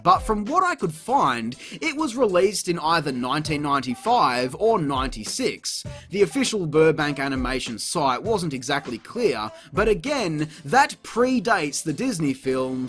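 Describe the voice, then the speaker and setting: A man's voice: on the high side, fairly bright tone. A man delivering scripted comedic review commentary in a sarcastic, exasperated, sweary manner. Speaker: video reviewer, host. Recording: music playing behind the voice. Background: background music.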